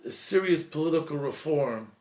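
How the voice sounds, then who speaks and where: A man, a priest, giving an earnest, reflective talk, slowing and softening at emotional points. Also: a little high, expressive, reverberant. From Lebanese heritage.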